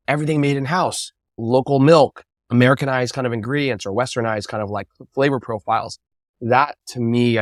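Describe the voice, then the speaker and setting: A man being interviewed, telling casual anecdotes and acting out quotes with animated energy. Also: medium pitch, no room sound, clean studio sound. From United States. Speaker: interview guest, bubble tea entrepreneur.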